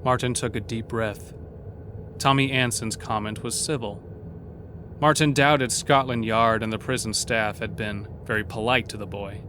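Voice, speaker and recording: A man narrating a scripted horror story in a measured, literary, dramatic manner. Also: lowish voice, fairly even intonation, noisy background.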